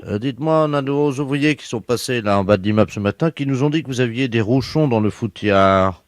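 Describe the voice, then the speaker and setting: A man playing a matter-of-fact town road-works official in a deadpan prank call, colloquial, insistent and faintly exasperated. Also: mid-range voice, a little fast, dry recording, natural voice. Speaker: prank caller.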